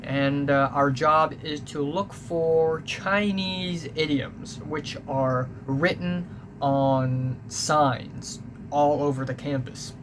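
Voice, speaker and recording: A young man with a Hong Kong English accent rambles through a casual story, correcting himself and swearing freely. His voice sits a little high for a man. Steady background noise runs under the recording. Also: takes it slowly. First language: Cantonese. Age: young adult. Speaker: vlogger.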